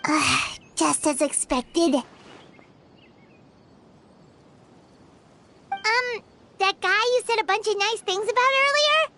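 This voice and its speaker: An actress voicing a small, excitable floating female companion creature in a game, bubbly and exclamatory. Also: extremely high pitch, vocal fry, slight background noise, heavily acted. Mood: coaxing. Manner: bubbly, exclamatory, quick to jump to conclusions. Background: game background music.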